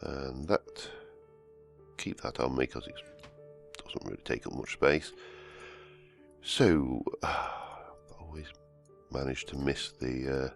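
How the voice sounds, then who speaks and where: A man, croaky from a cold, hosting casual gameplay commentary and rambling as he plays. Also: a bass voice, steady tone, slow, long pauses. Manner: rambling, self-correcting, humming to himself. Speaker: let's-play host. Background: soft background music.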